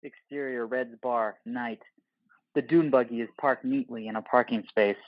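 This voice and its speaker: A man's voice: medium pitch, steady tone, normal speed, no room sound, muffled recording. A man narrating an audio play, reading stage directions in terse screenplay phrases.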